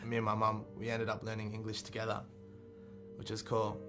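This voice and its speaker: Flat-toned young man, Thai first language. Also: on the low side, a little fast. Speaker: hip hop artist. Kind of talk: reflective interview. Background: music playing.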